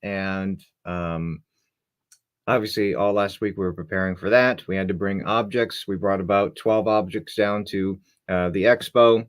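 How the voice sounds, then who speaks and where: A man's voice: deep voice, fairly even intonation, measured pace. A young man co-hosting a casual live stream, talking in a laid-back, rambling way with many fillers. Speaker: co-host.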